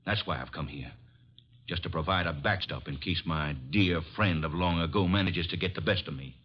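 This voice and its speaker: An actor playing a bitter, vengeful man on the run, halting but insistent, in old radio drama style. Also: low voice, slight background noise, lightly acted. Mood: grim, determined. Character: bitter, vengeful drifter wrongly blamed for a killing. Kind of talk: 1950s radio drama acting. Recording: muffled old radio recording.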